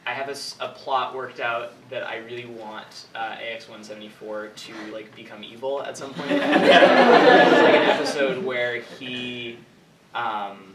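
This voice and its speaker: A young man answering questions informally as a writer, haltingly thinking aloud and hedging as he goes. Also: medium pitch, animated, fairly bright tone. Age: young adult. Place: indoor room with an audience. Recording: echoey room from far off, clear background noise.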